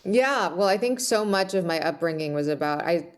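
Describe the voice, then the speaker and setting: A woman's voice: on the low side, lively intonation, moderate pace, clean tone. An American woman speaking as a podcast guest, reflecting earnestly and explaining her points in a conversational interview style. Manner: earnest, explanatory, often checks in with "right?" and "you know".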